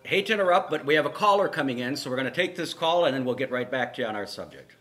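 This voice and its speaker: A man with an average-pitched voice co-hosts an opinion call-in show. His talk is casual and blunt, with firm views plainly stated. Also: animated, rapid-fire, a bit bright, soft background music. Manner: blunt, agreeing firmly. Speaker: talk show co-host taking calls.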